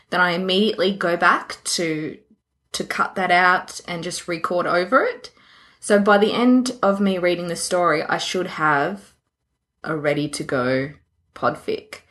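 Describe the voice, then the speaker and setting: A woman co-hosting a podcast in casual chat, self-deprecating and quick to giggle. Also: lowish voice, fairly bright tone. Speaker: podcast co-host.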